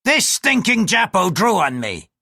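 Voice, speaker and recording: A man's voice: sits high, huge pitch swings, unhurried, vocal fry, bright, forceful tone, no room sound, studio quality, voice pushed up, exaggerated voice. A man doing a coarse leering sailor bosun. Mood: threatening. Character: crude lecherous male Portuguese ship's bosun.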